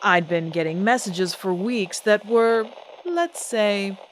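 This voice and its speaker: A woman's voice: medium pitch, slow pace, a little hiss or hum, close to an everyday voice, poor audio. A woman playing a poised, defiant celebrity singer in an old-time radio drama, speaking with composed, pointed, genteel diction. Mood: firm, defiant.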